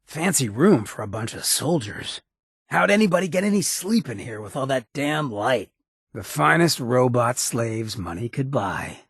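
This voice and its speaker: Game companion casting: an irreverent, swaggering male ghoul, heavily rasped and dry-miked, wry slangy drawl, loyal but cutting. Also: mid-range voice, dry recording, exaggerated voice. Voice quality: raspy, gravelly. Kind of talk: video game companion voice lines.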